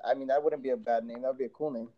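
A man's voice: mid-range voice, steady tone, rapid-fire, lo-fi sound. A man chatting casually with an old friend as podcast co-host, laid-back, laughing often and swearing freely. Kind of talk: casual chat between friends. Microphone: remote call mic.

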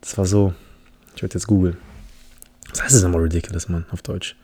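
A man from the Philippines talks alone on his podcast in a rambling, self-mocking way, often restarting his sentences. His voice sits a little low and sounds mellow and warm, not sharp. He keeps to relaxed, casual storytelling. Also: a little fast, husky. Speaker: podcast host. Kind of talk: casual solo podcast monologue.

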